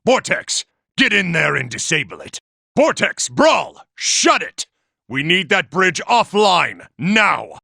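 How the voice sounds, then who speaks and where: A giant war robot from a video game, a male military tactician used to command. The voice is heavily gravelly and rasping, with a hard, cutting edge. He is impatient and forceful, and his pitch moves widely. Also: very animated, takes it slowly, no echo, clean studio sound, full character voice. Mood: angry, urgent. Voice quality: gravelly, raspy. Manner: forceful, barking orders. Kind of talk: video game character acting.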